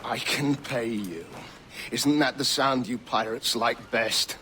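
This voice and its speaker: An actor playing a desperate, haughty, impatient man in a video game cutscene, with a gravelly, strained voice and a curt, insistent delivery. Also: mid-range voice, slow, pushed and bright, slight background noise. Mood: urgent, impatient.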